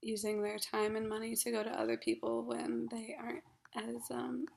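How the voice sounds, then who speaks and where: A young woman from Ohio in her twenties, a graduate counseling student introducing herself for a class, hoarse from a cold, halting with many ums and frequent throat clearing. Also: a little high, monotone, darkish tone. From Ohio, USA. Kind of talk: class self-introduction video.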